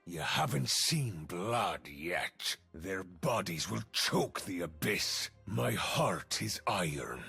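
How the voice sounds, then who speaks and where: An actor playing a brutal, bloodthirsty pirate captain in a video game, with a gravelly, husky growl and a slow, menacing, theatrical delivery. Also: male voice, sits low, sing-song, sharp tone, soft background music, full character voice. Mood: grim menace. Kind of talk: video game character voice acting.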